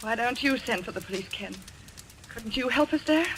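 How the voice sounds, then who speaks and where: A woman acting in an old-time radio mystery as a sharp, agitated young woman, insistent and accusatory. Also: lively intonation, normal speed. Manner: insistent, accusatory, high-strung. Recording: noisy old radio recording.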